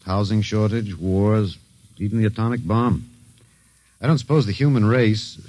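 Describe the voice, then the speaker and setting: An actor playing a wry, detached academic held captive by aliens, musing drily in 1950s radio drama style. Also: male voice, lowish voice, moderate pace, darkish tone, no echo, lightly acted. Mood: sardonic. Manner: dry, sardonic, musing with hesitations.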